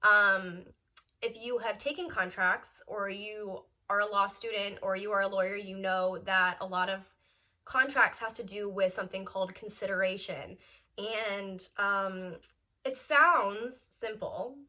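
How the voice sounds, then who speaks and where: A woman's voice: mid-range voice, steady tone, measured pace, sharp tone. A young woman in her twenties, a law student, chatting casually in a vlog update while stuffy and congested from a cold. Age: 20s. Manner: chatty and upbeat, with small laughs and fillers.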